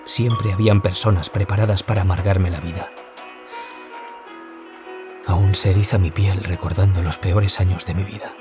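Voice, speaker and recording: An actor playing a troubled young writer who survived bullying, confiding in a reflective audio drama monologue. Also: male voice, low-pitched, fairly even intonation, normal speed, pauses often, natural voice. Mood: pained, reflective. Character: troubled young man, former bullying victim, wistful writer. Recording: loud music behind. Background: music.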